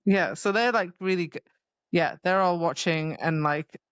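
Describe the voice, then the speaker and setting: A woman's voice: lowish voice, a little slow, smooth, no echo, dull audio. A woman co-hosting a casual book podcast, chatting informally and loosely quoting characters. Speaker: podcast co-host.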